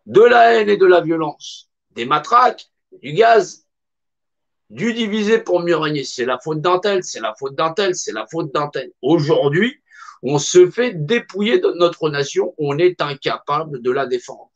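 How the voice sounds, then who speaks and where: A man's voice: fairly high pitch, animated, unhurried. Impassioned man, dull lo-fi recording. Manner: emphatic, repetitive, colloquial. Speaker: speaker. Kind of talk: impassioned monologue.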